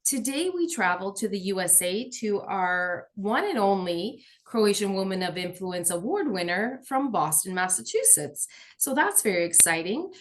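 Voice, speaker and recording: A woman's voice: medium pitch, sing-song, normal speed. A woman hosting a podcast, introducing her guest and asking questions. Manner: scripted introduction, then conversational. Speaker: podcast host. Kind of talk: podcast interview.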